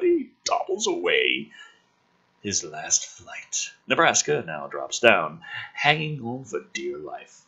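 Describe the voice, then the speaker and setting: Raspy, forceful, sardonic man. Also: medium pitch, low-quality recording. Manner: sardonic, sweary, exasperated asides. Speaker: host reading and critiquing a film script draft. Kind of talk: dramatic script read-aloud with casual profane commentary.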